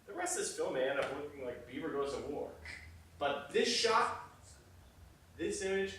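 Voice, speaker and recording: A man's voice: a little high, expressive, moderate pace, a bit bright, slight background noise. An actor playing an obsessive, stubborn male film director, speaking in an emphatic, self-important, theatrical way. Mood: defiant, passionate. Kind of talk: theatrical dramatic dialogue. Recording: very echoey room, distant microphone.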